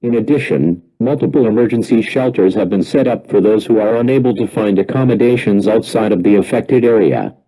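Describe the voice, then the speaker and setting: Dark-voiced man reading an emergency alert. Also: fairly low pitch, rough recording. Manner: formal, measured, impersonal. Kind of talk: scripted emergency alert announcement.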